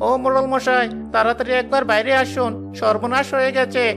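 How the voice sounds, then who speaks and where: A woman doing a gravelly frightened old villager. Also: high voice, little pitch movement, a little fast, over music. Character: elderly male villager, frightened, pleading.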